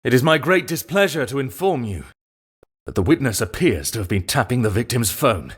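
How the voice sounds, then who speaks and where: A man's voice: mid-range voice, very animated, a little fast, husky. A man voicing a smug, formal rival prosecutor in a video game dub, precise and pompous. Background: music.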